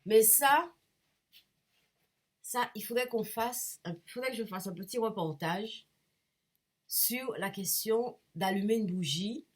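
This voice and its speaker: A woman's voice: mid-range voice, slow, long pauses. A woman with a cold talks to her viewers in a rambling, casual way. She hesitates often, restarts her sentences and searches for words. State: has a cold. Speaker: painter and vlogger. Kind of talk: rambling casual talk to camera.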